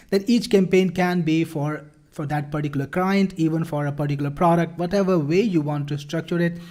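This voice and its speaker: Man, fairly high voice, product demo presenter, explanatory, salesy, walkthrough narration. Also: mellow. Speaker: product demonstrator. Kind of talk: promotional software walkthrough.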